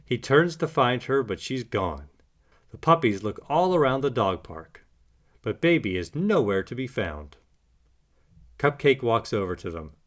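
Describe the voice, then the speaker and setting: A man narrating a children's storybook aloud in an animated read-aloud style. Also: medium pitch, a little slow. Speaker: storybook reader.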